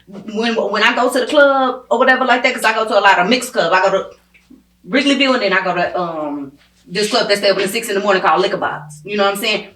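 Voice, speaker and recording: A woman's voice: medium pitch, fast talker, fairly bright tone. A woman in her thirties with an American accent, chatting casually and emphatically with lots of slang and swearing. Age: 30s. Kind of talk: casual chat. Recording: echoey room sound.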